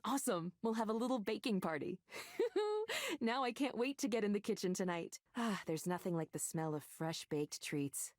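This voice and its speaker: Visual novel role: cheerful, friendly young woman, played by an actress, highly animated delivery. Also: moderate pace, close to an everyday voice. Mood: warm, excited.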